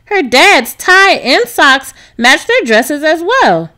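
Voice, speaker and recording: A woman reads a children's story aloud. Her voice sits fairly high and moves up and down with lots of expression, in a scripted narration style. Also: very animated, takes it slowly, pushed and bright. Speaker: storybook reader.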